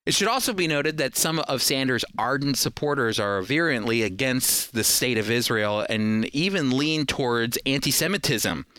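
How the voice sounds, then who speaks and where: A man's voice: mid-range voice, moderate pace, hardly any pauses, pushed and bright, smooth, no room sound. An American man hosting a radio program, delivering prepared commentary in a talk show style.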